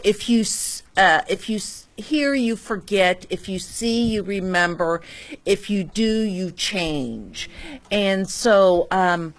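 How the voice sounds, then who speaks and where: A woman who teaches kindergarten, sharing in a casual group talk in a halting way with many pauses. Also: mid-range voice.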